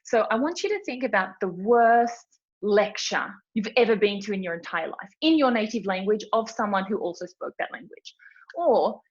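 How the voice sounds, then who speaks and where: A woman's voice: medium pitch, expressive, normal speed. An Australian woman giving a chatty, informal webinar presentation, telling stories with lots of fillers. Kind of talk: casual presentation talk. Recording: dull lo-fi webinar audio.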